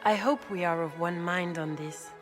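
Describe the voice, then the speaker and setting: An actress playing a scheming aristocratic grand duchess in a video game, with a French accent and formal, measured, insinuating speech. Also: female voice, on the low side, music in the background, lightly acted. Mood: guarded, insinuating. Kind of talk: video game character acting.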